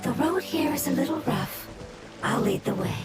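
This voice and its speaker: A woman voicing a solemn, sorrowful elemental creature in a video game, breathy, whispery and formal. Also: high voice, expressive, normal speed. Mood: earnest, solemn. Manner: formal, earnest. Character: solemn, sorrowful, reverent elemental life form seeking its homeland. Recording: loud game music behind. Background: game music.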